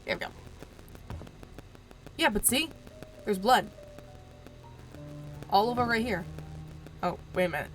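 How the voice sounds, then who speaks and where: Woman, stuffed-up nose, casual gameplay chatter, rambling with fillers, quiet home voice, static-y mic. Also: medium pitch, lively intonation, measured pace, long pauses, faint music behind, low-quality recording. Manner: talks quietly so as not to wake others. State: stuffed up from allergies. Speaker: gaming video host. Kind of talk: casual let's-play commentary. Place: room at home at night.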